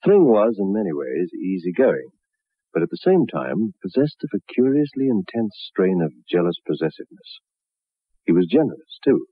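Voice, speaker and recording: Wry, worldly storyteller for vintage radio tale; husky, measured, on a thin old recording. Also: male voice, lowish voice, very animated, no room sound. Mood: knowing. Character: worldly, knowing male storyteller. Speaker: narrator. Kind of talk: scripted storytelling in 1960s radio drama. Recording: muffled, narrow-band 1960s radio recording.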